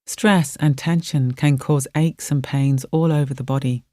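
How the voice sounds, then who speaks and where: A mellow, warm voice leads a guided meditation. The delivery is gentle and soothing, with soft phrases given room to settle. The sound is dry and close, free of room echo. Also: medium pitch, steady tone, measured pace.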